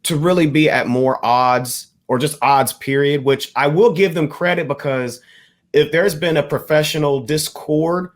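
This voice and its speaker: A man co-hosting a podcast, explaining things in a measured, casual way. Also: fairly high pitch. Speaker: podcast co-host. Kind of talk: casual panel discussion.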